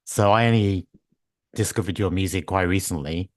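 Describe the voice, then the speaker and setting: Croaky low-voiced male interviewer. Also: normal speed, dry recording, studio quality. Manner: friendly, hesitant, many ums and restarts. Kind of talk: casual interview questions.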